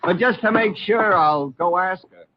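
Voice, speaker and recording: A man's voice: high voice, normal speed, slight background noise, natural voice. An actor playing a jittery, wisecracking nightclub comic with slangy, nervy showman patter, in 1940s radio drama style. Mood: jokey. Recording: muffled vintage radio recording.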